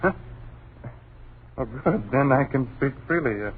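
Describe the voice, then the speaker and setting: A man's voice: mid-range voice, lively intonation, measured pace, breathy edge. An actor with an American accent playing a brash, easygoing, slangy father in an old-time radio drama. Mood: breezy, explaining. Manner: slangy, breezy, dismissive. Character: brash, easygoing young father renting a country house. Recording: noisy, tinny vintage radio recording.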